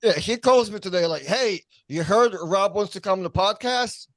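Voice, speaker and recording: A man's voice: high voice, lively intonation, normal speed, a bit bright, no room sound. A non-native English-speaking man co-hosting a podcast, telling stories loosely with chuckles. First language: not English. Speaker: podcast co-host and producer. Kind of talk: casual podcast banter.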